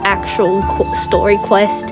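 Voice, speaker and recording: A woman's voice: medium pitch, completely flat delivery, slow. Sick woman mumbling through a game. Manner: hesitant, with many ums and trailing restarts. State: sick, sore throat. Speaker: gaming channel host. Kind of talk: casual let's-play commentary. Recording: voice over game music.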